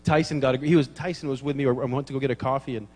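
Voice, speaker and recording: A man's voice: mid-range voice, fairly even intonation, racing, a little mellow, big-room sound. A man preaching a sermon in church, telling funny stories and acting out the dialogue, laughing at his own jokes. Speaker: pastor.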